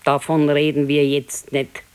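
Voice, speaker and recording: An actress playing a practical, modest old wife with a Bavarian accent, admonishing and matter-of-fact in a radio play. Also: female voice, sits low, monotone, measured pace, a little mellow, clean tone. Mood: dismissive, reassuring. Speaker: radio drama actor. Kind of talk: 1970s naturalistic dialect radio play.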